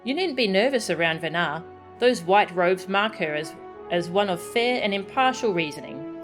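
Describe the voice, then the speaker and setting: A woman's voice: mid-range voice, expressive, normal speed, close to an everyday voice. A woman voicing a polite, attentive guide in a video game, speaking in a formal, solicitous manner. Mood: reassuring. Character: polite, attentive guide addressing a small familiar. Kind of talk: video game dialogue read aloud. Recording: game music behind the voice.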